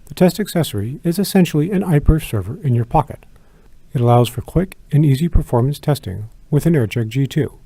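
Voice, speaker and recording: Creaky-voiced man giving a product walkthrough. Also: medium pitch, mellow, dry recording. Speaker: product demonstrator. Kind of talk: scripted product tutorial narration.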